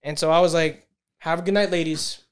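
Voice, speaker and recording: Animated man with a big voice. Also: on the high side, smooth. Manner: big voice, animated and profane, quick to snap back. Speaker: podcast co-host. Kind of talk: casual podcast banter, telling a story.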